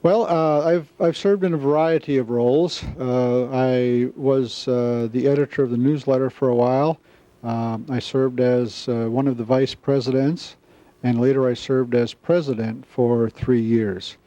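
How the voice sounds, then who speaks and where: An American man in his seventies from the Midwest, recalling things in an interview in a halting, measured way. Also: medium pitch, fairly even intonation, dark tone, no echo. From Wisconsin, US Midwest. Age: 70s. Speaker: club member, newsletter editor. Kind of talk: interview recollection. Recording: slightly dull, dry interview sound.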